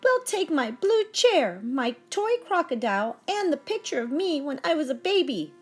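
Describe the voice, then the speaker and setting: A high, wildly animated little boy from a storybook , acted out by a woman. He is indignant and pouty, exclaiming his complaints over faint music. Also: moderate pace. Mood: determined. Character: indignant little boy jealous of baby sister.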